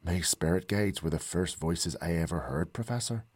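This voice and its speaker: A hesitant, earnest young woman from a storybook, speaking softly with a wistful edge, as a male narrator puts her on. Her voice sits raised yet stays husky and low-set for a woman, and it sounds dry and close with no room around it. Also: moderate pace, dry recording.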